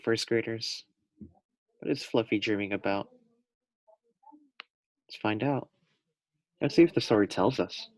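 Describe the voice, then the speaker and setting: A young man reads a children's picture book aloud at a slow, gentle pace, stopping for asides to the first graders. His voice is at a middle pitch. The recording is close and dry. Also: no echo. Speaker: teacher reading to first graders.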